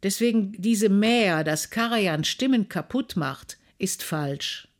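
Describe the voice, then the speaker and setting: A woman gives her opinions in a conversational interview. Her mid-pitched voice moves with lively, swinging intonation and firm emphasis. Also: takes it slowly.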